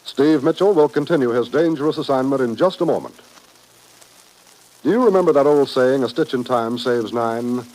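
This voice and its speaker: A man's voice: medium pitch, normal speed, darkish tone, a little hiss or hum, low-quality recording. A man with an American accent announcing in a polished, emphatic, persuasive old-time radio style. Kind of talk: 1950s radio public service announcement, scripted. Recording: vintage radio broadcast recording.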